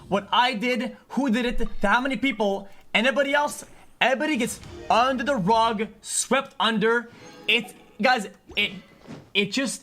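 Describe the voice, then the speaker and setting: A man's voice: extremely high pitch, a bit bright. A man livestreaming an unscripted, agitated rant to his chat, swearing often and stumbling over repeated words.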